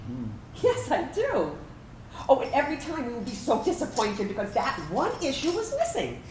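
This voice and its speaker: Woman playing a wistful veteran, high and animated. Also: normal speed. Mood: wistful reminiscence. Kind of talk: staged reading of a personal narrative play. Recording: roomy, distant microphone, faint background noise.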